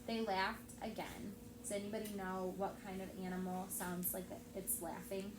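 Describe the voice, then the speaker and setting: A woman teacher reading a story aloud to children in an expressive storytelling style. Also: medium pitch, moderate pace. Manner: expressive, acts out dialogue. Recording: echoey room with steady background noise.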